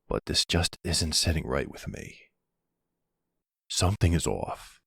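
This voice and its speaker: A man playing a protective, doting middle-aged mafia boss in an intimate roleplay, speaking slowly with pet names in a gruff, husky voice. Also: no echo, clean studio sound, full character voice. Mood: wary, calm. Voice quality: low gravelly, husky. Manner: slow, affectionate, uses pet names. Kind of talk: intimate ASMR boyfriend roleplay.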